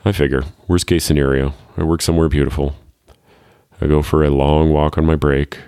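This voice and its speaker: A man reading a first-person short story as a world-weary, wryly fatalistic parent who works as a security guard, in a deadpan, measured way. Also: very deep voice, clear, resonant tone, no echo, studio quality. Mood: wry, resigned.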